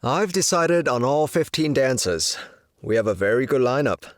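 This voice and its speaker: A man's voice: mid-range voice, animated, moderate pace, no room sound, lightly acted. A principled dance choreographer, acted by a man in an audio drama. He is composed and polite, with a mid-range voice, varied melody and a dry, close sound.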